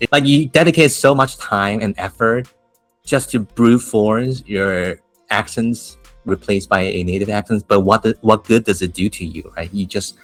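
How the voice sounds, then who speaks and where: Man, Taiwanese accent, English teacher, casual podcast chat, lowish voice, dry sound. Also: a little slow, no room sound, soft background music. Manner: animated storytelling, frequent restarts and laughs.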